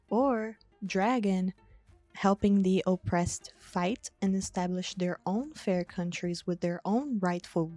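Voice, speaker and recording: A young woman talks in a slow, unhurried way. Her voice is warm and mellow. She sounds measured and self-deprecating, with faint music underneath. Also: mid-range voice, dry recording. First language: Portuguese. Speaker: video essayist. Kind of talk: reading a scripted analysis, then chatting.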